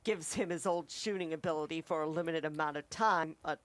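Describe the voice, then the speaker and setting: A woman co-hosts a casual review chat, giving her take on what she has watched. Her voice sits slightly low for a woman. The recording is dry, with hardly any room sound. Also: brisk, no echo. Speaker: co-host reviewer.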